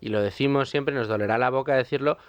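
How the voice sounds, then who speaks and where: Smooth-voiced radio co-host. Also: male voice, medium pitch, no room sound. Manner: measured, asks questions on behalf of listeners. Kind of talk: radio interview questions. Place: radio studio. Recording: slightly dull radio studio sound.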